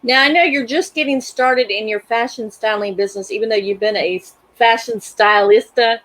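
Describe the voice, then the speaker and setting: Woman host, casual and friendly interviewer; slightly high voice, dry recording. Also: normal speed, a bit bright, no room sound, rough recording. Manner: friendly, asks leading questions.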